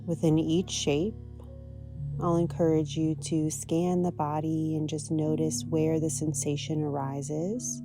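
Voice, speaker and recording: A woman teaching yoga, guiding a practice in a gentle, soothing, unhurried manner. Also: sits low, level tone, gravelly, music in the background. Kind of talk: guided yoga and meditation instruction.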